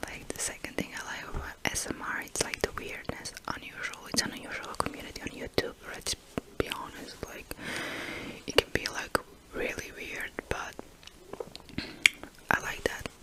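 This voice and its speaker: A woman with a Croatian accent whispering ASMR, chatty and a bit hesitant, with light giggles. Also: low-quality recording. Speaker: ASMR collab guest.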